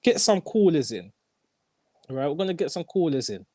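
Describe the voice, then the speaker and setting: A young man hosting a casual football chat, animated and slangy, repeating his lines. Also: fairly high pitch, fairly quick. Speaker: host.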